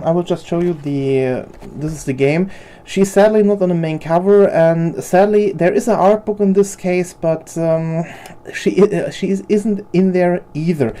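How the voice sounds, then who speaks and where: A young woman whose first language is German talks through her collection in a loose, unscripted way. Her voice sits low and sounds very dark and mellow. She hesitates often and circles back over her own words. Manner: hesitant, many fillers and restarts. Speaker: figure collector video host. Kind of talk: casual unscripted show-and-tell.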